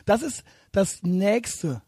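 Hoarse, cocky high-voiced man. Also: lively intonation, mellow, no echo, studio quality. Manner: fast, cocky, mixes English phrases. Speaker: podcast host. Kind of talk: casual podcast banter.

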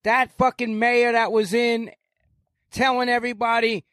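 Loud, profane older man, very high-pitched. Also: little pitch movement, normal speed, no room sound, clean studio sound. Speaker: guest. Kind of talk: heated podcast conversation.

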